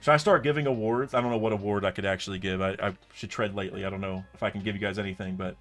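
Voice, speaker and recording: A young man with a lowish voice talks loosely to his stream chat, circling his ideas with many fillers and restarts. Faint music plays under his voice. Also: fairly even intonation, very fast, a bit bright. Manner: rambling, thinks aloud with many restarts and fillers. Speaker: streamer reacting to a comic chapter. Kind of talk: casual live stream chat.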